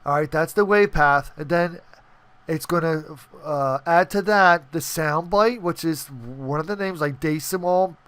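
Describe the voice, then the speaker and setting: Higher-voiced man giving a clear coding tutorial. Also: a little slow, a bit bright. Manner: explanatory, checks in with listener. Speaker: programming tutorial presenter. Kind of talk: informal screencast tutorial walkthrough.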